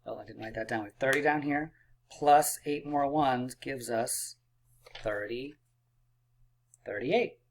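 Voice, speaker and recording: A man's voice: medium pitch, a little slow, long pauses, slight background noise. Upbeat man teaching kids math. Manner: upbeat and encouraging, playful drum rolls and counting aloud. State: losing his voice, clearing throat. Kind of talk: math lesson video for kids.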